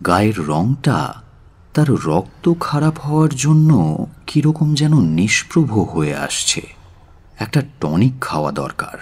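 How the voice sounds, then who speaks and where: A man narrating a dramatic audio story in a measured, solemn and expressive way. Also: on the low side, slightly rough. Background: faint music.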